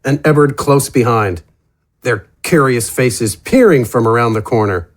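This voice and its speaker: Male narrator, middle pitch, dramatic fantasy storytelling, scripted. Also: a little slow.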